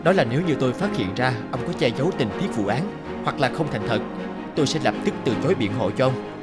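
A man's voice: medium pitch, lively intonation, lightly acted. Man acting a stern, principled cartoon lawyer, music underneath. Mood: stern, firm. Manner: formal, firm, measured. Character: stern, principled male lawyer refusing dishonest clients. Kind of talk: dubbed cartoon dialogue.

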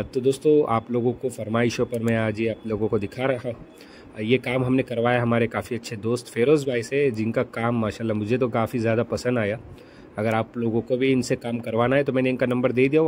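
A man hosting his own farm channel, narrating a casual voice-over in a relaxed way, often pausing with fillers. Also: medium pitch, slight background noise. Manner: relaxed, hesitant with frequent fillers. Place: home studio, recorded separately as voice-over.

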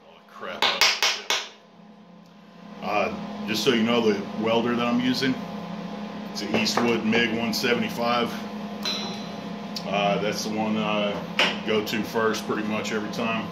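A man, talking casually while welding in his home garage workshop, plainspoken and relaxed with lots of "uh" fillers. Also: on the low side, unhurried, long pauses. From Texas. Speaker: welding hobbyist vlogger. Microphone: camera mic a few feet away. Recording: echoey garage with loud background noise.

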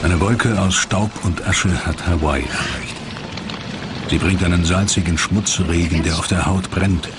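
A man's voice: low-pitched, steady tone, a little slow, noisy background. A man reading a scripted documentary narration as its narrator. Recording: loud music under voice. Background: loud music.

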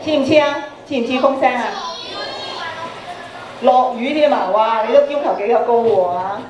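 High-voiced Hong Kong woman teaching in a hall. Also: moderate pace, background noise. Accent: Hong Kong Cantonese. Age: middle-aged. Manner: instructive, prompting with questions and tag particles. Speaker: radio drama workshop speaker. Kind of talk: interactive live workshop talk to students. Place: large indoor auditorium, on and before the stage. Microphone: handheld microphone through PA. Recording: roomy auditorium PA sound.

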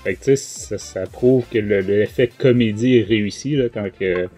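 A man's voice: fairly low pitch, moderate pace, warm tone, faint music behind. A man with a Quebec French accent, a podcast host giving a casual review in a hesitant, rambling way. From Quebec, Canada.

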